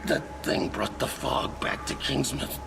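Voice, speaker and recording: An actor playing a haunted, guilt-ridden seafarer in a video game, telling his story in a halting, brooding, breathy rasp. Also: medium pitch, music in the background. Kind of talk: dramatic game cutscene monologue. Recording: heavy background ambience noise.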